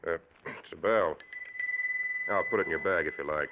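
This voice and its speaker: A man's voice: deep voice, moderate pace, dull audio. An actor playing a kindly, folksy motorcycle policeman with a plainspoken, reassuring manner in an old-time radio mystery. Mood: gentle, official. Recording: thin, noisy old radio recording.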